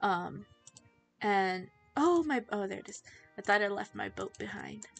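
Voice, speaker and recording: A woman's voice: mid-range voice, lively intonation, gravelly, fairly bright tone. Teen girl streamer, chatty and giggly, rambles while playing, desk mic, faint game music underneath. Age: teens. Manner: chatty, giggly, self-distracted, quick to laugh. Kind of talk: casual livestream chat while gaming.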